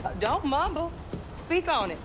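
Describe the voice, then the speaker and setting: A woman playing a brisk, no-nonsense, teasing mother in a video game, with a Southern US accent. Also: high voice, sing-song, background noise. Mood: stern. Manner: brisk, sassy, bossy. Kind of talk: naturalistic game cutscene acting.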